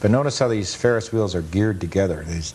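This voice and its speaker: A man's voice: lowish voice, quick pace, a little mellow, husky. A man speaking as an interview guest, explaining things plainly like an enthusiast narrating old film. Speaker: guest author and amusement park enthusiast. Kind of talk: interview answers and film commentary.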